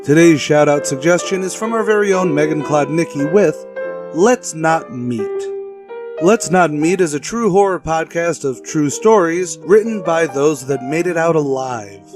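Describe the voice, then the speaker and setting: Guy announcer for a retro radio podcast, slightly high voice, music playing behind.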